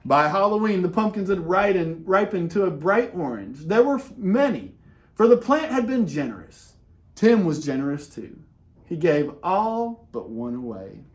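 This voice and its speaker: A man reading a children's picture book aloud in an expressive way, with dramatic pauses and casual, conversational delivery. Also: sits high. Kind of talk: animated read-aloud with casual asides.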